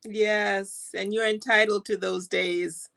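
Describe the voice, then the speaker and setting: A woman's voice: mid-range voice, fairly even intonation, slow pace, creaky, fairly bright tone. A woman interviewing a guest in a casual conversation, asking long rambling questions. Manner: asks long rambling questions, laughs along.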